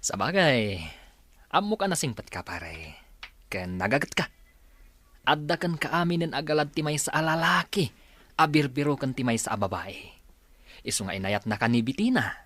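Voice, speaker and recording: Actor as joking young guy pal in an audio drama, very animated voice, dry sound. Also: medium pitch, normal speed, pauses often, no room sound. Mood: teasing, chummy. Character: teasing, chummy male buddy. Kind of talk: Ilocano audio drama acting.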